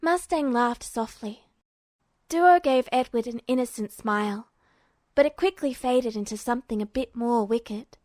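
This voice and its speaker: A woman's voice: on the high side, measured pace, a little mellow, dry recording, studio quality. A woman narrating a scripted story as an audiobook-style reader. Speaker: podfic narrator.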